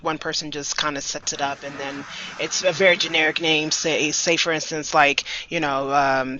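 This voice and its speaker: A man explaining things informally and haltingly as a staff member on a conference call. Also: on the high side, bright, forceful tone. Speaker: staff member answering questions. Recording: dull, muffled conference-call line.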